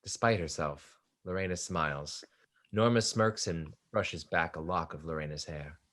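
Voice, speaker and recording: Very low-pitched male play narrator. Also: normal speed, fairly bright tone. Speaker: narrator reading stage directions. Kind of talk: scripted narration of a stage play.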